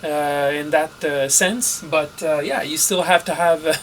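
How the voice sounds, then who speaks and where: A man's voice: on the high side, fairly even intonation, measured pace, slight background noise. Middle-aged man narrating a technical vlog. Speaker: amateur radio operator narrating a project.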